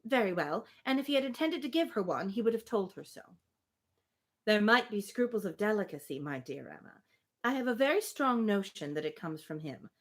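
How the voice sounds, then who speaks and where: A woman reading a gently persistent married woman from a Regency novel, formal, teasing and persuasive. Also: mid-range voice, big pitch swings, fairly quick. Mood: eagerly persuasive.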